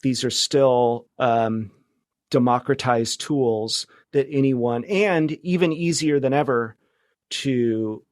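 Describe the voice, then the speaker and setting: A man's voice: mid-range voice, takes it slowly, no room sound, studio quality. A man talking casually as a podcast guest, phrasing things tentatively, trailing off and rephrasing as he goes. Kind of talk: casual conversational interview.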